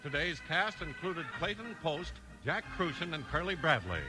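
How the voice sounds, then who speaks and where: A man delivers scripted 1950s radio announcements in an American accent. His voice sits high for a male speaker. Music plays clearly behind him on the vintage recording. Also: animated, moderate pace, low-quality recording.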